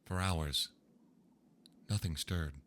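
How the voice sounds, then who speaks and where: A man reading old ghost stories aloud in a slow, hushed storytelling manner. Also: sits low, a little hiss or hum. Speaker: narrator. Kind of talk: scripted ghost story narration.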